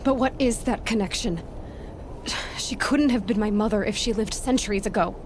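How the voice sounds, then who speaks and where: A woman's voice: mid-range voice, normal speed, noisy background, lightly acted. An actress playing a stubborn, questioning young female adventurer in a video game, earnest with a sardonic edge. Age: young adult. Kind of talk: dramatic video game acting.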